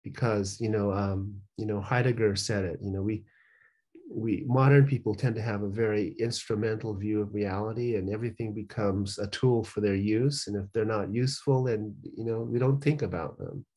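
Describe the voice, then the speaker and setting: A man's voice: fairly low pitch, normal speed, dark tone. A man in his sixties, a professor and author, talking thoughtfully on a video call from a home study, rambling with many restarts. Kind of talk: casual reflective conversation.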